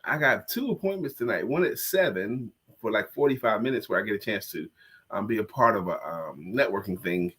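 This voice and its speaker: A man's voice: fairly low pitch, expressive, brisk, a bit bright, slightly rough. A congested man co-hosting a casual live-stream chat, joking and self-deprecating. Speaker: co-host and coach.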